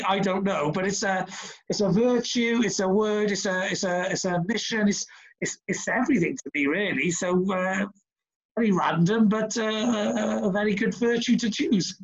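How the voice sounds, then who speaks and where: A man's voice: high-pitched, normal speed, breathy edge, muffled recording. A man with a Welsh accent hosting a podcast, chatting casually over a video call with lots of fillers and restarts. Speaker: podcast host.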